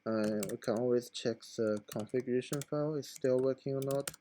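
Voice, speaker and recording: A hoarse man who is losing his voice, talking through a screen-recorded computer tutorial in a halting, informal way with many fillers. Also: mid-range voice, dark tone, slight background noise.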